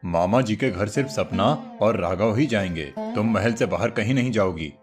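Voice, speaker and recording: A man's voice: on the low side, moderate pace, lightly acted. A man voicing a stern, protective middle-aged king and father with a commanding manner in a cartoon story. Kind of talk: cartoon moral story acting. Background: music.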